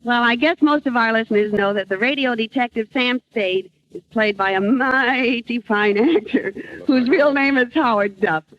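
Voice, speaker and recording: A woman's voice: on the high side, moderate pace, hardly any pauses, background noise. An American woman hosting a vintage radio comedy, bantering in a wisecracking, self-deprecating way. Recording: dull vintage radio recording.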